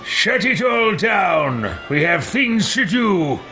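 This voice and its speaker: A man's voice: high-pitched, sing-song, takes it slowly, over music, full character voice. A man voicing a brash, impatient fantasy Inquisitor in a roleplayed video game. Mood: exasperated outburst. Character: brash, impatient male Inquisitor with loud outbursts.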